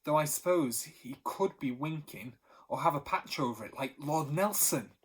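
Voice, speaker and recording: A man voicing a blunt girl from a children's street gang who finds fault with everything, with a husky voice. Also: a little high, lively intonation, normal speed. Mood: critical. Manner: blunt and unimpressed.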